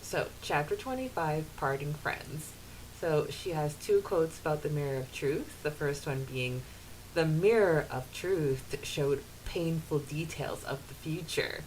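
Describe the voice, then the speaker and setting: A woman with a slightly low voice co-hosts a podcast about a book. She talks casually and giggly, moving between chat and read-out quotes, with some hiss on the recording. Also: a little mellow, low-quality recording. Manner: giggly, often laughing. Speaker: podcast co-host. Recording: hissy background noise.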